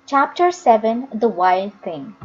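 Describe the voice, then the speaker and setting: A woman's voice: fairly high pitch, expressive, slow, dull audio. A woman narrating a children's novel expressively, as an audiobook reader.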